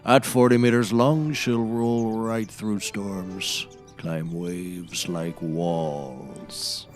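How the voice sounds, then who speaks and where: A man's voice: sits low, steady tone, extremely slow, faint music behind. An actor playing a grandiose woodsman obsessed with seafaring, declaiming nautical bombast in a scripted comedy. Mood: grandly eager. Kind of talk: scripted comedy audio acting.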